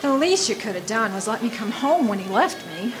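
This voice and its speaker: A woman playing a loud, brassy, flashy dead woman, wry, defiant and flirtatious, in a radio drama. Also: a little high, very animated, a little fast, over music. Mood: wry, hurt.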